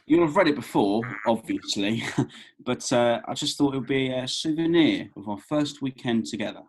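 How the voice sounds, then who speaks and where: A man's voice: medium pitch, lively intonation, moderate pace, husky, rough recording. A man playing an awkward, eager young man in a script read, hesitant and conversational.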